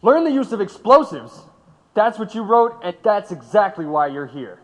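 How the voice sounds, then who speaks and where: Audio drama: stern male government interrogator, clipped and accusing, high voice in long reverb. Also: very animated, moderate pace. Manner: clipped, prosecutorial.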